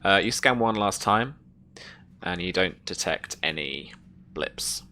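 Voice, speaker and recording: A man running a tabletop roleplaying game, narrating scenes and explaining rules in a halting, thinking-aloud way. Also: low voice, animated, pushed and bright. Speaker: game master. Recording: noticeable background noise.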